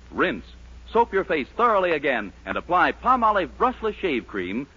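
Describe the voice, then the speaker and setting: An American man announcing in 1940s radio style, reading scripted ads and narration with polished, emphatic salesmanship and a husky voice. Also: a little high, sharp tone. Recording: lo-fi vintage 1940s radio broadcast.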